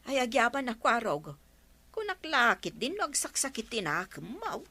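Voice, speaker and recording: A woman acting in a Filipino radio comedy as a long-suffering, scolding village woman with an Ilocano accent and plaintive, drawn-out exclamations. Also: a little high, wildly swooping pitch, heavy background noise. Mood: rueful, subdued.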